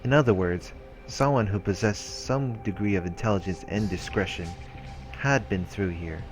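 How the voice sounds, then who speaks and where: A man with a very deep voice reads a visual novel aloud. He keeps it casual, with the offhand manner of a let's play host. Soft music plays faintly underneath. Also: moderate pace.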